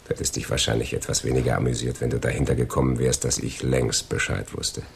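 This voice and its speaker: An actor playing a cold, gloating criminal in a radio crime drama, taunting and deliberate in his delivery. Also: very deep voice, little pitch movement, vocal fry, slight background noise. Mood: accusing, scornful. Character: cold, gloating male criminal double-crossing his accomplices.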